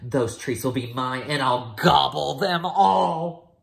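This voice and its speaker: A man's voice: mid-range voice, lively intonation, slow, vocal fry, roomy sound. A man voicing a sneaky, greedy male pug dog from a storybook, scheming and boastful.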